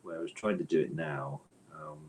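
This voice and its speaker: A man's voice: very deep voice, steady tone, normal speed, a little mellow. A man being interviewed as an author, talking conversationally in a thoughtful, hesitant way and often circling back to rephrase.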